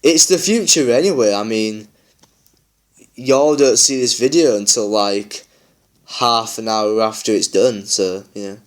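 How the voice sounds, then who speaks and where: Tired, rambling young gaming vlogger. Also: male voice, lowish voice, unhurried. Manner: rambling, chatty, many fillers. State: tired and a little croaky. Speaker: gaming YouTuber. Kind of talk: casual gameplay chat.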